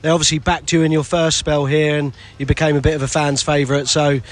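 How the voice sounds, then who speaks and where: A male sports radio reporter interviews a player beside a hotel pool outdoors. His voice sits a little high, and he rambles into each question chattily. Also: little pitch movement, fast. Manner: chatty, long lead-ins to questions.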